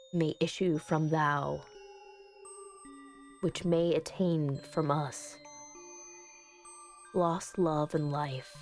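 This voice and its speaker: A woman voicing an earnest, anxious summoner in a visual novel, reading in a measured, solemn way. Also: deep voice, darkish tone. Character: earnest, anxious narrator summoning a ghost girlfriend.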